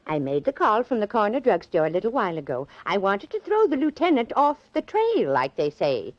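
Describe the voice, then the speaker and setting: An actress playing a meddlesome, excitable and prim elderly lady amateur sleuth in a 1940s radio drama. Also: mid-range voice, brisk, highs cut off. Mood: proud, defiant. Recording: vintage 1940s radio recording.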